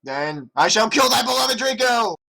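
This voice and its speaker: A man voicing an evil, commanding dark wizard with archaic speech in a comedic story reading. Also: extremely high pitch, sing-song, moderate pace, vocal fry, pitched above the performer's own voice, exaggerated voice. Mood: commanding, menacing.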